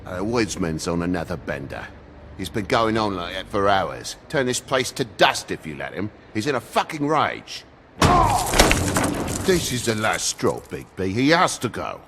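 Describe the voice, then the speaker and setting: A man's voice: low voice, big pitch swings, a little slow, a bit bright, slight background noise, exaggerated voice. A man voicing an irritable, foul-mouthed British toad in a video game, agitated and full of complaint. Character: irritable, foul-mouthed working-class toad landlord and father.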